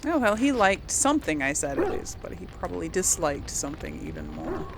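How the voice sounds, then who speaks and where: A woman's voice: on the low side, wildly swooping pitch, brisk, creaky, background noise. A woman hosting a casual game role play, musing and thinking aloud. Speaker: gamer, series host.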